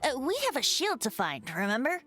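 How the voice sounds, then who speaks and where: A woman's voice: high-pitched, wildly swooping pitch, fairly quick, pushed and bright, soft background music, full character voice. A woman voicing a youthful male bard who is a wind spirit in a game, playful and wise, with a lilting, storytelling way of talking. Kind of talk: game voice acting.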